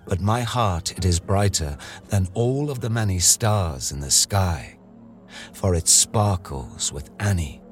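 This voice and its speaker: A man reads poetry as a dramatic recitation, in a measured, solemn manner with deliberate phrasing. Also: sits low, expressive, faint music behind. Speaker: poetry reader. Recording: clear ambient sound bed behind voice. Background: ambient sound design.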